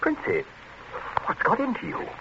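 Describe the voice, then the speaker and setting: An actor playing a breezy, blustering British captain with a clipped, hearty manner in a 1940s radio drama. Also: male voice, moderate pace, lightly acted. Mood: startled. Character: breezy, blustering English captain, jilted neighbour. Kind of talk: 1940s radio thriller acting. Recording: muffled, hissy 1940s radio broadcast.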